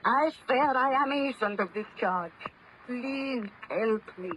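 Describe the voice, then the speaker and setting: A woman's voice: on the high side, unhurried, a bit bright. An actress playing a distraught, pleading young woman in old-time radio horror, melodramatic and formal in delivery. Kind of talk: 1930s radio horror acting. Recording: noisy old-time radio drama recording.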